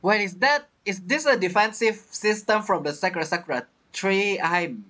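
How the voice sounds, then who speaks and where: A man streaming a game with casual commentary, thinking aloud in halting, non-native phrasing. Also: high voice, expressive, pushed and bright. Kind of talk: casual let's play commentary.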